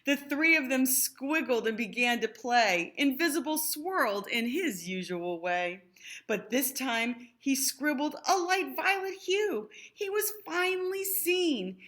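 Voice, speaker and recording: Female storybook reader, fairly high voice, slow pace, expressive, explaining things to young listeners. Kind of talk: children's read-aloud with explanatory asides.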